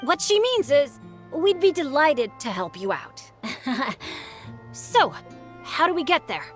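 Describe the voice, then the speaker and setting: Bright-spirited adventurer girl, high voice. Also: big pitch swings, slow pace, heavily acted. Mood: friendly. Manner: upbeat, friendly. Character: sunny, enthusiastic young adventurer girl. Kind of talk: video game voice acting. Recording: music behind the voice.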